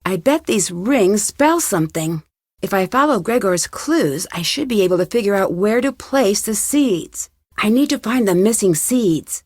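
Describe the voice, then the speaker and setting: A woman playing a curious, determined young amateur detective in an adventure game, thinking aloud in clearly enunciated remarks. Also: mid-range voice, lively intonation, measured pace, no room sound, clean studio sound. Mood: curious, musing.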